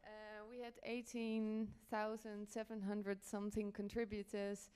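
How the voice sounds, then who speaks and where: A woman's voice: mid-range voice, flat delivery, unhurried, a little mellow, clean tone. A woman from the Netherlands giving a conference presentation as a panel speaker, a little hesitant and stopping to reword as she goes. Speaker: panel speaker, journalism editor.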